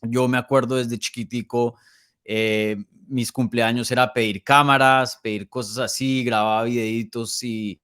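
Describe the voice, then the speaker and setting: Colombian man, early thirties, podcast guest. Clean, resonant voice, dry close sound, casual with a hesitant, pausing delivery. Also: medium pitch, flat delivery, a bit bright, clean tone, no room sound. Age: early 30s. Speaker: podcast guest, MMA journalist. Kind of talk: casual interview conversation.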